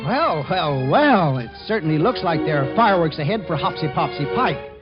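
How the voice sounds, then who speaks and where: A man's voice: on the high side, sing-song, moderate pace, music in the background. A man hosting and playfully narrating a scripted 1940s radio comedy, on a dull old recording. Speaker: host and narrator.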